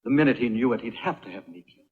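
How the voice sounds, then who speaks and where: An actor playing a dignified captive king and protective father in a dubbed historical film, speaking gravely and with measured care. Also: medium pitch, expressive, close to an everyday voice. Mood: earnest, resigned. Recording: dull dubbed film soundtrack with music.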